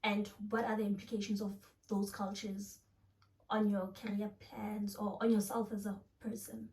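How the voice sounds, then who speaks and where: A woman's voice: mid-range voice, level tone, normal speed, dark tone, distant mic, low-quality recording. A woman interviewer asking polite, direct questions in short prompts.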